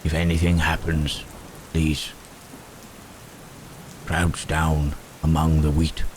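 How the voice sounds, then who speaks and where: Very deep, halting, gentle monster acted by a man. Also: completely flat delivery. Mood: protective, cautious. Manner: halting, thoughtful, old-fashioned formal phrasing with murmured tags. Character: gentle, melancholy male Frankenstein's monster, protective and hesitant. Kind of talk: ASMR roleplay acting. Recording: rain and thunderstorm noise throughout. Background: rain and thunder.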